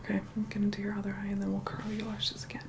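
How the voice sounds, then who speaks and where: A woman's voice: mid-range voice, completely flat delivery, very fast, gravelly, noisy background, natural voice. Whispering, begrudging female vampire acted by a woman. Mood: hushed, instructing. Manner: hushed, begrudging, bossy. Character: reluctant, haughty female vampire begrudgingly helping with makeup. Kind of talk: whispered ASMR roleplay.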